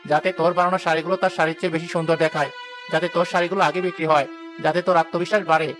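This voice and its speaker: A man's voice: on the high side, flat delivery, rapid-fire, lightly acted. A man playing a frank, wise village uncle who speaks earnestly in a moral-story cartoon. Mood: solemn. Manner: earnest, admonishing. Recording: instrumental music under the voice.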